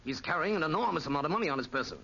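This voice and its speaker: A man playing an earnest Parisian police officer in a 1940s radio drama, hurried and formal. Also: fairly high pitch, animated, gravelly, bright, forceful tone, exaggerated voice. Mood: urgent. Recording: muffled, noisy 1940s radio recording.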